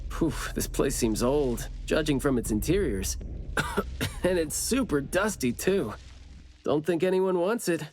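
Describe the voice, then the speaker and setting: An actor playing a chatty, teasing, curious young investigator in a game cutscene, with a breezy, wry manner. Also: male voice, fairly high pitch, expressive, measured pace, a little mellow. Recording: music behind the voice.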